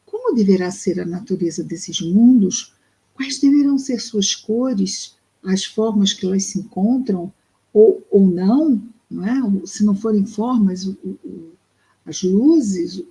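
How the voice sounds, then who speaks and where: A woman with a Brazilian Portuguese accent reads a book aloud and explains it, speaking thoughtfully and slowly, with pauses and fillers. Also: mid-range voice, dark tone, poor audio. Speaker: study group presenter. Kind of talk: reading and explaining a spiritist book.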